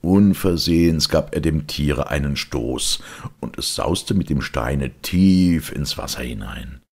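A man narrating a children's storybook as an expressive audiobook reader. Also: rumbling low, slow pace, gravelly, breathy edge.

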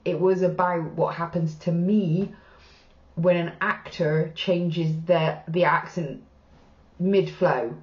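A woman's voice: lowish voice, steady tone, takes it slowly, a little mellow. A woman in her thirties with a Bristolian accent, hosting a reaction channel in casual, frank commentary with the odd swear word. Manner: chatty and frank, casual swearing, gestures while talking. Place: small plain room indoors. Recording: roomy, slightly dull sound.

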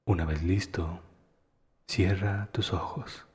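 A man's low voice leads a guided meditation at a very slow pace. He is calm and soothing, letting phrase endings soften into breath. A long reverb surrounds every phrase.

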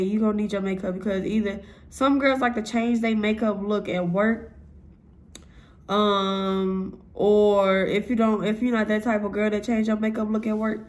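A young woman with a stuffy, nasal voice, chatting bluntly and profanely as she does her makeup for a vlog at home. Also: medium pitch. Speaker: vlogger. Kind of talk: casual makeup tutorial vlog.

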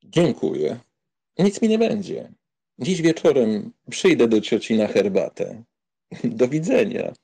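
An actor playing a proud, hot-tempered young count in a dramatised audiobook, haughty and sardonic. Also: male voice, medium pitch, big pitch swings, slow pace, vocal fry, mellow, slightly rough, heavily acted. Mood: brisk.

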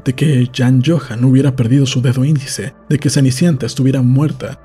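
Mellow, husky man narrating a story. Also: mid-range voice. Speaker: podcast narrator reading a novel aloud. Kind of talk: scripted audiobook narration. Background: faint music.

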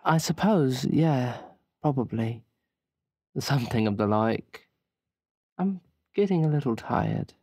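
A weary, evasive ghost woman, acted out by a man at a tabletop game. The delivery is slow and hesitant, with cryptic turns. The recording is close and dry. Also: medium pitch, lively intonation, dark tone, breathy edge, no echo, heavily acted. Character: weary, evasive female ghost crew member.

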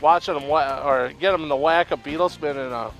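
A man's voice: fairly high pitch, a little fast, a bit bright, soft background music. A man chatting casually and with many fillers while fishing from an open boat on a lake. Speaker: angler on a fishing show.

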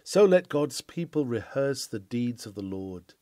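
Earnest, mellow man reading a sermon. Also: mid-range voice, very animated, a little slow, dry recording, studio quality. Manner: earnest, declamatory, formal. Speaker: sermon reader. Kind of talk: read-aloud classic sermon, oratorical.

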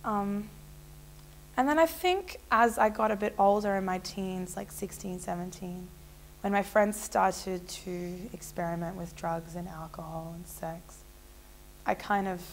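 A woman's voice: lowish voice, vocal fry, slight background noise, poor audio. A young woman in a small indoor room, telling her personal story to a fixed camera in a casual, rambling way with many fillers. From Israel. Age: young adult. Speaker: interviewee.

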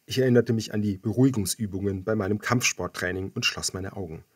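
A man reading scripted first-person thriller narration as an audiobook narrator, measured and dramatic. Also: on the low side, slightly rough, no echo, clean studio sound.

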